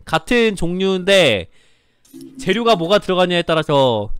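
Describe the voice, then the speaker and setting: A man's voice: sits high, very animated, a little slow, fairly bright tone, smooth, no room sound, studio quality, soft background music. A man chatting casually as he hosts an online board game stream.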